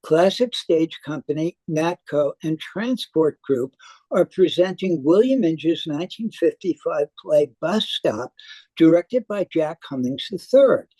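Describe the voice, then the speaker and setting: A woman theater critic reading a prepared review aloud in a measured, deliberate manner. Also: sits low, expressive, mellow, breathy edge, dry recording, poor audio. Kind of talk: scripted review read aloud.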